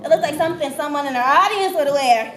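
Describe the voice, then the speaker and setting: A woman acting a naive, excitable young woman in a stage play, giggly and chatty, with theatrical delivery. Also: high-pitched, quick pace. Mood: excited, giggly. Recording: echoey noisy theater, distant mic.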